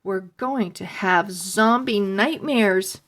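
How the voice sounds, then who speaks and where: A woman's voice: mid-range voice, takes it slowly, a bit bright. A woman voicing a mischievous, jumpy young boy who talks eagerly. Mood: excited, nervous.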